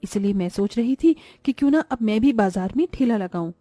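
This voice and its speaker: A woman playing a greedy, envious, sharp-tongued young wife and mother in a Hindi cartoon moral story. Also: mid-range voice, animated, fairly quick, no echo, faint music behind, lightly acted. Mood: scheming.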